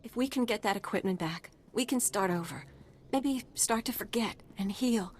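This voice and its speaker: Gentle, weary settler played by a woman. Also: mid-range voice, moderate pace, slight background noise, natural voice. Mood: weary, pleading. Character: weary, gentle female settler, hopeful after loss. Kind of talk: video game voice acting.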